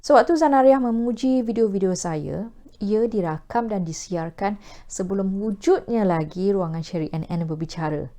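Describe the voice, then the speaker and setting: Casting: Malaysian female host, soft mellow tone, formal and measured newsreader delivery to camera. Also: mid-range voice. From Perak, Malaysia. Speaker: host of a talk video series. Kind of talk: formal scripted presentation to camera.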